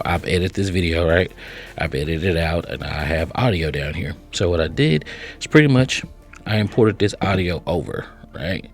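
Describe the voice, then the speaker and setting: A man with a fairly low voice hosts a casual step-by-step tutorial. He is chatty and instructional, often tagging sentences with quick check-ins. Music plays faintly behind him. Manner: chatty, instructional, frequent check-in tags like right and okay. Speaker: host of software tutorial channel.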